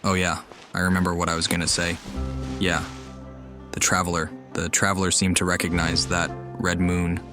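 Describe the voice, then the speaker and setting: A man giving casual commentary while playing through a game. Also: low voice. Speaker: gamer commenting on playthrough. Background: loud music.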